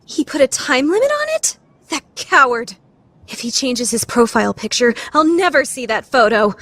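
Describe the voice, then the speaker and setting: A woman playing a proud, scheming, secretly lovestruck high school lady in a formal, theatrical anime radio play style. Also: very animated, moderate pace, a bit bright, no room sound, full character voice. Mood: flustered, proud. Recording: background music under the voice.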